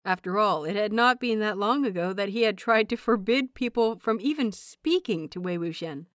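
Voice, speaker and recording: A woman reading scripted fiction aloud as an audiobook narrator, in an expressive, measured storytelling manner. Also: mid-range voice, no echo, studio quality.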